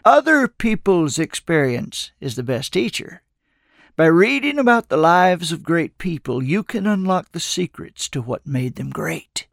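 A man's voice: fairly high pitch, very animated, measured pace, gravelly, a bit bright, no echo, studio quality, heavily acted. A man voicing a kindly old wandering mentor with a playful, sage-like, teasing manner in an audiobook. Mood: earnest, wise.

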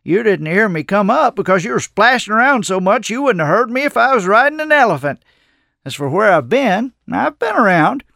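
A man's voice: high-pitched, sing-song, fairly quick, gravelly, bright, forceful tone, no room sound, studio quality, pitched above the performer's own voice, exaggerated voice. A man voicing a kindly old wandering mentor with a playful, sage-like, teasing manner in an audiobook.

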